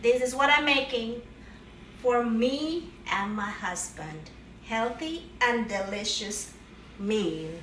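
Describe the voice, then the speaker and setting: Cheery high-voiced woman cooking, roomy kitchen. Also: slow, fairly bright tone, slight background noise. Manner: playful, jokey, cheery "ta-da" exclamations. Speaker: home cook vlogger. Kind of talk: casual cooking demo. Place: home kitchen, indoors. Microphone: far camera. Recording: roomy kitchen echo, distant mic, faint kitchen clatter.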